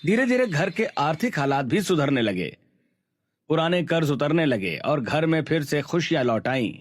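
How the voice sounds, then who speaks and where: A man's voice: a little high, sing-song, normal speed, no room sound. A man reading scripted storybook narration for a Hindi cartoon tale. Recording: background music under voice.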